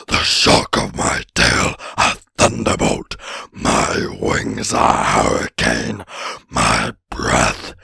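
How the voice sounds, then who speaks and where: Wicked old dragon, raspy breathy growl, forceful and tense, storybook villain, gloating drawl. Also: male voice, very deep voice, dry recording, studio quality, voice pushed down, exaggerated voice. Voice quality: gravelly, raspy, menacing. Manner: slow, gloating, sneering drawl. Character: ancient huge boastful male dragon, wicked and wily. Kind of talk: audiobook character voice.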